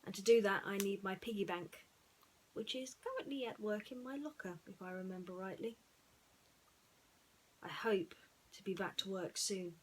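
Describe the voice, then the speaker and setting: A woman storyteller talking in a musing, unhurried way, with pauses, as in bedtime reading. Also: medium pitch, a little hiss or hum, low-quality recording.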